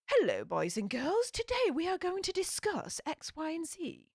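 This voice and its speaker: A woman's voice: very high-pitched, huge pitch swings, moderate pace, no echo, studio quality, pitched above the performer's own voice, exaggerated voice. A Yorkshire woman putting on a prim, posh English female presenter voice in a mock-posh, sing-song way.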